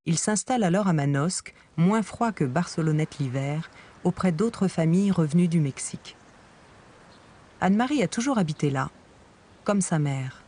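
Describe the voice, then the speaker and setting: A man giving calm, measured scripted narration for a documentary. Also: a little high.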